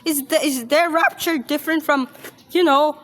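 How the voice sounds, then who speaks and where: A woman's voice: high-pitched, steady tone, moderate pace, fairly bright tone. A woman giving casual reaction commentary, rambling and often repeating herself. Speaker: reaction video host.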